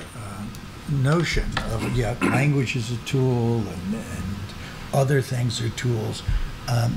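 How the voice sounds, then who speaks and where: A man speaks on an academic panel through a table microphone, laying out ideas carefully with a few fillers. Clear background noise runs under the recording in a large meeting room. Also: medium pitch. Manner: thoughtful, explanatory, with fillers.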